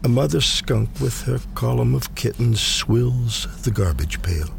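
A man reading poetry aloud in a dramatic recitation, with measured, deliberate phrasing and pauses. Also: medium pitch, expressive, vocal fry, noisy background. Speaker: poetry reader. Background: faint ambient sound design.

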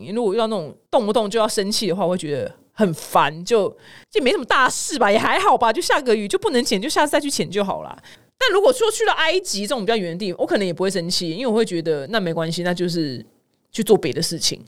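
A woman's voice: mid-range voice, very animated, rapid-fire. A woman with a Taiwanese accent hosting a casual, comedic news podcast, chatty, playful and opinionated as she mixes in personal anecdotes. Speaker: host of a news commentary podcast.

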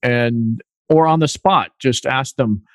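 A man's voice: medium pitch, takes it slowly, no room sound. A man hosting an interview, asking casual questions and often repeating words as he starts a thought. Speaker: podcast host.

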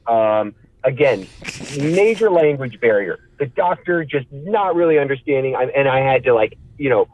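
A man telling a casual, animated comic story in a halting, rambling way, acting out bits of dialogue. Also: medium pitch, muffled recording, a little hiss or hum.

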